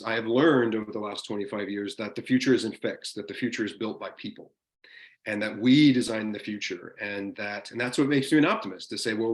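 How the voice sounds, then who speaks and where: A man giving an informal seminar talk over a video call, lively and enthusiastic, often restarting his sentences. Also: on the low side, roomy sound. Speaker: guest speaker in a university seminar.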